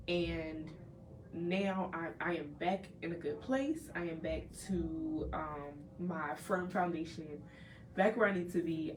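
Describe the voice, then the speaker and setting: A woman's voice: mid-range voice, a little hiss or hum. A young woman hosting a casual talk for a live audience, nasal and congested from a cold, chatty and earnest with many restarts. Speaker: host. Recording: a little distant from the mic, faint background ambience.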